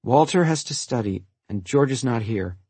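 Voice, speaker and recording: A man's voice: mid-range voice, lively intonation, moderate pace, husky, no room sound. A man voicing a worn-out, exasperated mother who pleads with and scolds her sons in an audiobook story. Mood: firm, weary. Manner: pleading, sighing, snapping into yells.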